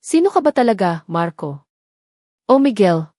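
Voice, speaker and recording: A wounded young sweetheart as a woman narrator plays her asks for the truth in soft, trembling questions. It is romance audio drama, close and dry. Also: mid-range voice, sing-song, normal speed, dry recording. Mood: bewildered. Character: hurt, bewildered young woman in love, pleading for truth.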